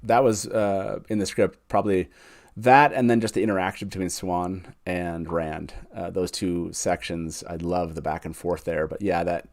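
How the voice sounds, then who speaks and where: A man's voice: sits low, steady tone, normal speed. A man hosting a casual group chat, talking in a rambling way with repeated phrases and frequent chuckles. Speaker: host. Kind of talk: casual chat, going round the guests.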